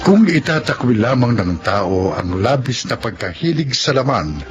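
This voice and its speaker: A man's voice: mid-range voice, big pitch swings, moderate pace. A man with a Filipino accent reading scripted narration as a radio drama announcer. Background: music.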